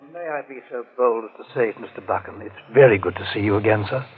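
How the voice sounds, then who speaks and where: A man's voice: fairly low pitch, quick pace, lightly acted. A man playing a formal, uneasy, deferential butler in an old-time radio drama. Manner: formal, servile, careful. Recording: muffled vintage radio drama recording.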